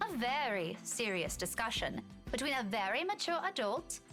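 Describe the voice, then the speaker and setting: A prim, scolding young schoolteacher in a visual novel. Her high voice is emphatic and very animated, with game music clearly behind it. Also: moderate pace. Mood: smugly patient.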